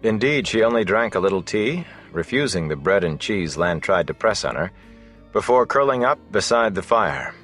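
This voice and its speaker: A man reads a fantasy novel in a low-pitched voice. His storytelling is measured and expressive, as an audiobook narrator would deliver it. Faint music plays behind the narration.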